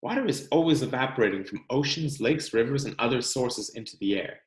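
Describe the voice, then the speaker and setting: An American man with a medium-pitched voice reads to children from a picture book. His intonation is lively and expressive, with deliberate storyteller phrasing. The room around him adds a clear echo. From California, United States. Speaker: read-aloud host, teacher reading to children. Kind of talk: children's picture book read-aloud with casual asides. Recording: echoey room.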